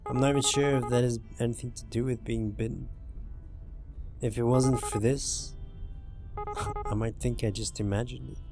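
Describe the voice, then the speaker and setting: A man's voice: medium pitch, vocal fry. A man voicing a sick young woman, a bitten passenger in a zombie visual novel, in a hesitant, wry way. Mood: weak, resigned. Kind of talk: visual novel character dialogue. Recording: music behind the voice.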